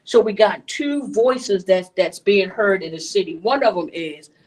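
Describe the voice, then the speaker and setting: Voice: mid-range voice, expressive, a little slow, a little mellow. A moderator running a community panel, conversational and direct, asking the panel questions, picked up from a distance. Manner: conversational, asks questions, keeps things moving.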